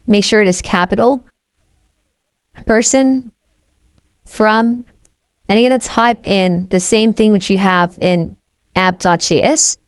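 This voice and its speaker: A woman walks through code line by line in a screen-recorded lesson, checking in with "okay?" as she goes. Her voice sits in an ordinary middle pitch. The sound is dry and close, with no room echo. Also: smooth. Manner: steady step-by-step narration with frequent "okay?" check-ins. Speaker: coding tutorial instructor. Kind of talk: screen-recorded coding walkthrough.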